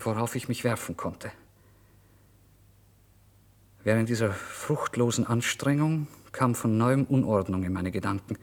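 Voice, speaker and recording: Radio play lead: male actor as tormented, starving writer, medium-pitched voice, brooding first-person monologue with theatrical intensity. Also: a bit bright, natural voice. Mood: frustrated.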